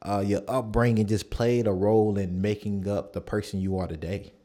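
Thirties guy hosting a podcast, mellow low voice, easygoing, lots of ums. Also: normal speed. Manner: relaxed and affirming, with many fillers. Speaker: podcast host. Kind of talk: casual conversational interview.

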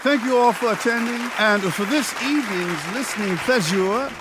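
Actor as a high-voiced, pompous gentleman host. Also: moderate pace, background noise, natural voice. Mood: ceremonious. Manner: formal, oratorical. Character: pompous, genteel literary dinner host, adult man. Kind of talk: period radio drama acting. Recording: music and busy crowd behind. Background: music and dinner crowd.

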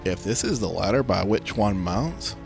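A man's voice: fairly low pitch, lively intonation, normal speed, vocal fry, over music. A man playing a laid-back young prince in a comic storybook tale, talking in casual slang. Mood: curious.

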